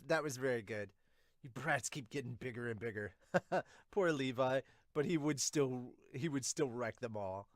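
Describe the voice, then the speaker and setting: Male podcast host, casual and blunt reviewer, mid-pitched voice, dry close recording. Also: dry recording. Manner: blunt, mildly profane. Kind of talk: casual review and reading viewer comments aloud.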